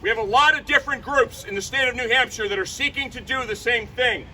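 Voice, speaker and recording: A man delivers a fiery outdoor rally speech, punching his points and shouting slogans. His voice sits high and very bright. He is picked up from a distance, with faint crowd and traffic noise around him. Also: fast talker. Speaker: rally speaker. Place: outdoor rally by a state capitol.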